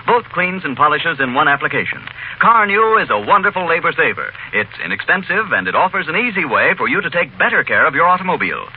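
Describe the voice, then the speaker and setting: A man's voice: a little high, very animated, fast talker, husky. An American man reading a scripted 1940s radio commercial as an announcer. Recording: tinny, narrow-band 1940s radio recording.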